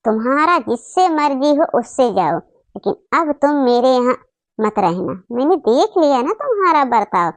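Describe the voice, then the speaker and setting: Woman acting a high-voiced, scolding, fed-up cartoon mother. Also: very animated, moderate pace, dry recording. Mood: angry scolding. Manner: scolding, emphatic, repetitive. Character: angry, fed-up mother throwing out her boastful daughter.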